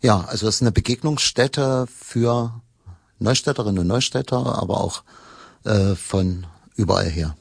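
Low-pitched middle-aged man, halting delivery. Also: vocal fry, husky, dry recording, clean studio sound. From eastern Germany. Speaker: local historian, history workshop leader. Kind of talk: interview answers.

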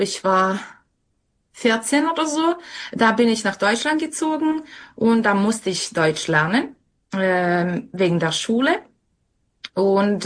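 Slow-speaking woman. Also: mid-range voice, fairly bright tone. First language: Serbian. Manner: hesitant, searching for words. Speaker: interview guest. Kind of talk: conversational interview answers.

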